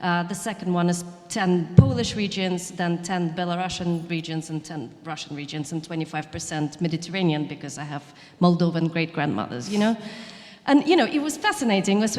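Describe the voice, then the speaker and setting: A woman's voice: lowish voice, a little mellow. Earnest woman speaking in a reverberant hall. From Ukraine. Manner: earnest, halting, many fillers and restarts. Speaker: panel guest. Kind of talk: conversational panel talk. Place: event hall.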